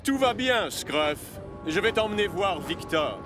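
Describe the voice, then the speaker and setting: Boastful strong locomotive, high voice, slow emphatic delivery, eager, children's cartoon. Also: male voice, very animated, over music. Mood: proud. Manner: cocky, emphatic. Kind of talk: children's cartoon dub acting.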